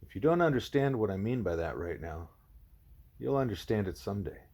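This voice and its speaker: Man voicing a husky, wise old-school gorilla teacher. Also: on the low side, lively intonation, a little mellow. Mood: knowing, amused. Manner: measured, formal, didactic phrasing. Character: calm wise male gorilla teacher, patient, deliberate.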